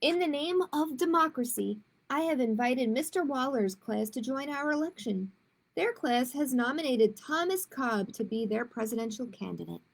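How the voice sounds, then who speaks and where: A woman's voice: high-pitched, expressive, normal speed, gravelly, exaggerated voice. A woman reading the part of an encouraging female elementary teacher in a children's storybook, formally addressing her class. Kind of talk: children's storybook read-aloud.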